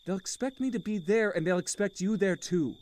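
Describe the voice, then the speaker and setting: A man playing a weary, middle-aged uncle with a failing marriage, earnest and measured, in a visual novel game. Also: sits high, a little mellow, lightly acted. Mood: frustrated, firm.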